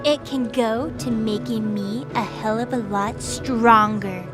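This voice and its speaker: A brash little goddess acted by a woman with a highish voice, cocky and spoiling for trouble. It is fantasy audio drama acting, with music clearly playing behind. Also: slow pace. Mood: defiant, hungry. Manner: cocky, provoking. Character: brash, defiant centuries-old goddess who looks like a child.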